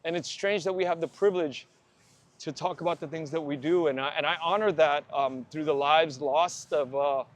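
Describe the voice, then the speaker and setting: Easygoing guest in his forties, talky and repetitive, a touch high-pitched. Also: normal speed. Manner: chatty, repeats words, leans on fillers. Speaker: podcast host, guest. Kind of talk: casual conversation while walking. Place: outdoors on a walk.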